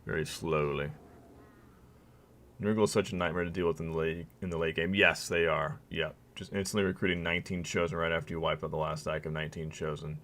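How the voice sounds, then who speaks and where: A man's voice: rumbling low, steady tone, brisk, fairly bright tone. A man streaming a strategy game live, thinking aloud and reading game text to his viewers, his voice weak and worn out. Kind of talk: live gameplay commentary.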